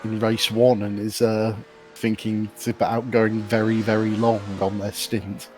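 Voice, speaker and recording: A man giving casual, analytical live commentary on a sim race, explaining things in a measured way. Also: lowish voice, flat delivery, a little mellow, faint music behind. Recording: faint noise behind voice.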